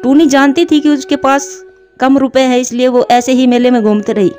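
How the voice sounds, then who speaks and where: Woman, children's story narration, soft warm tone, fairly high voice, faint music. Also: fairly even intonation, moderate pace.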